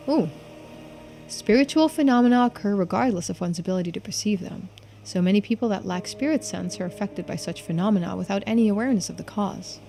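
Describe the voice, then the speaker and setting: A woman's voice: mid-range voice, mellow. A woman game streamer reading game text aloud, with bits of casual commentary. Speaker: streamer playing and voicing a game.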